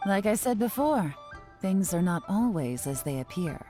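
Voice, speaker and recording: A woman voicing a cool, secretive female intelligence agent in a video game, calm and measured. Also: medium pitch, natural voice. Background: faint background game music.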